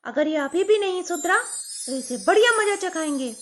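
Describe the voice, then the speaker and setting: Bossy storybook squirrel queen, high and commanding, as a woman puts her on. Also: animated, normal speed, fairly bright tone, soft background music.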